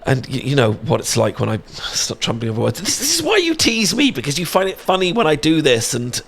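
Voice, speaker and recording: A man's voice: fairly high pitch, huge pitch swings, a little fast, gravelly, full character voice. A British man playing a shy, sweet best friend secretly in love, stammering and hesitant in a romantic ASMR audio roleplay. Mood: flustered, nervous.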